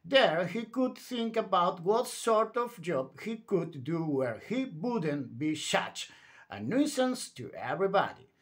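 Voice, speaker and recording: Male narrator for children's picture books. Unhurried, very lively pitch, emphatic and theatrical bedtime delivery. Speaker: storyteller reading a children's book aloud. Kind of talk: animated bedtime read-aloud narration.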